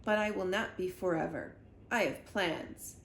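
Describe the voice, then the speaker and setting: A woman voicing a smug, calm male spy villain from a children's storybook, measured and condescending. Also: lowish voice. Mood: scheming, smug. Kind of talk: children's storybook read-aloud. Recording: roomy echo with clear background noise.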